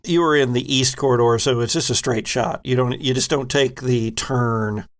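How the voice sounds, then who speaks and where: A man running a tabletop game as game master, setting scenes with deliberate narration and frequent fillers. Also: mid-range voice.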